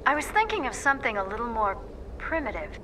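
A woman's voice: fairly high pitch, sing-song, quick pace, noisy background. An actress playing a resourceful, businesslike female flight controller in a space audio drama.

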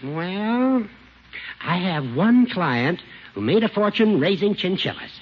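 An actor playing a teasing old judge with a needling, precise manner in a 1950s radio comedy. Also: male voice, sits high, animated, slow pace, husky, full character voice. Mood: dryly amused. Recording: muffled, noisy vintage radio recording.

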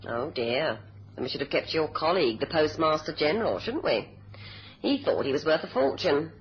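An actress playing a cool, mocking young kidnapper in a 1970s radio spy drama, teasing and politely menacing. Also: female voice, low voice, sing-song, normal speed, a little hiss or hum. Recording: dull old radio recording.

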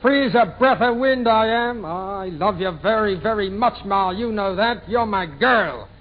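A man doing a high-pitched breezy Cockney lad. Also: lively intonation, a little slow. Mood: tender, restless. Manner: breezy, affectionate, coaxing. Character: cheeky, restless young Cockney drifter, tender to his mother. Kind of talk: 1940s radio impression of a film actor. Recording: muffled, noisy vintage radio recording.

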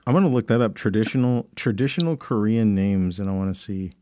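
A man's voice: fairly low pitch, fairly quick, dark tone. Giggly crude man reading names aloud. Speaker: podcast host. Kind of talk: casual comedic chat.

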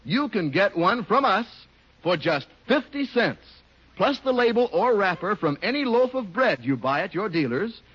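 A man's voice: high voice, bright, forceful tone. An American man announcing in 1950s radio advertising style, with emphatic, polished salesmanship. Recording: muffled vintage radio recording.

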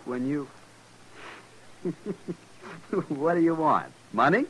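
A man's voice: a little high, lively intonation, slow pace, fairly bright tone. A man in a 1950s radio western playing a cocky, goading Army lieutenant with a mocking swagger. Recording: noisy vintage radio drama recording.

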